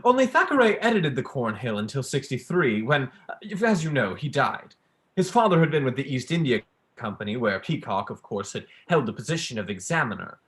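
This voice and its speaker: A young performer playing a pedantic male academic in a staged play reading, halting, smugly polite and prone to interrupt himself. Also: medium pitch, very animated, close to an everyday voice. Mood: pedantic, apologetic. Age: young adult. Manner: halting, donnish, breaking off with apologies.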